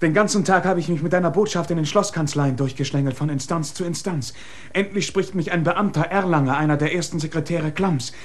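An actor in a German radio drama playing an eager young messenger reporting hurriedly. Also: male voice, fairly high pitch, brisk, close to an everyday voice.

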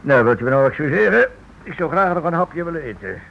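An actor playing a formal, brusque lawyer in a Dutch radio play, speaking with clipped, dismissive courtesy. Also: male voice, a little high, big pitch swings, fast talker, gravelly, heavily acted. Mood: dismissive, polite. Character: brusque, formal male lawyer refusing to help. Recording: muffled old radio play recording.

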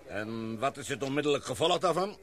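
An actor playing an older, authoritative English gentleman who talks in a calm, courteous, commanding way in a radio play. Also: mid-range voice, fairly quick, a crowd in the background, lightly acted. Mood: questioning.

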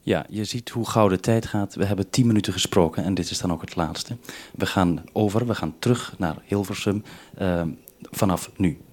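Man, low voice, radio interviewer, formal and hesitant questioning. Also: moderate pace, a little mellow, a little hiss or hum.

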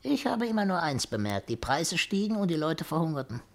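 An actor playing a cruel, bitter little companion to an emperor in a radio play, mocking and theatrical. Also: male voice, fairly high pitch, sing-song, fairly quick, vocal fry, full character voice. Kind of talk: 1950s German radio play acting.

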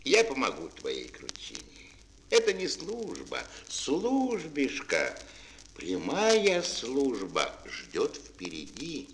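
An actor playing a helpful, cunning grey wolf in a children's fairy-tale audio play, with a deliberate, drawn-out delivery. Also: male voice, mid-range voice, wildly swooping pitch, a bit bright, slight background noise, heavily acted. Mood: calm, reassuring.

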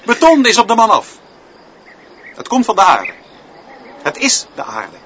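A man's voice: high-pitched, huge pitch swings, unhurried, a bit bright, a little hiss or hum, full character voice. A man acting as an opinionated, pedantic schoolteacher in a radio drama, lecturing in a declamatory way. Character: opinionated, pedantic male schoolteacher leading pupils. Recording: dull recording with music behind.

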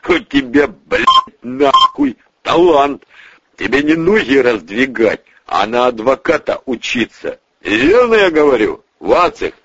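A man's voice: medium pitch, wildly swooping pitch, measured pace, creaky, fairly bright tone, breathy edge, no room sound, dull audio, voice pushed up, full character voice. Man acting a snarling, brutal gangster boss. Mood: mocking menace. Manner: snarling, swearing, threatening. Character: brutal, foul-mouthed gangster boss, menacing and explosive.

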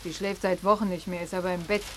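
An actress playing an anxious, polite housewife in a 1950s German radio play. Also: female voice, fairly low pitch, steady tone, quick pace, close to an everyday voice. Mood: worried. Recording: faintly noisy 1950s radio recording.

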